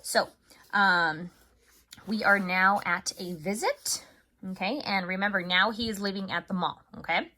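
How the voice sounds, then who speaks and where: A woman with a bright, clear-cut voice at a medium pitch speaks as a primary school teacher to her young class. She is chatty and expressive, in the style of an upbeat video lesson with a read-aloud. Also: measured pace. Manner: chatty, addresses children as friends, expressive. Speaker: third grade teacher.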